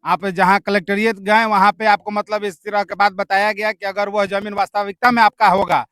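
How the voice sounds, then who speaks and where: High, bright-voiced Bihari man reporting. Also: flat delivery, brisk, no echo, clean studio sound. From India. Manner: repetitive, searching phrasing with filler words. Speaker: field news reporter. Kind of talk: on-location interview and commentary to camera.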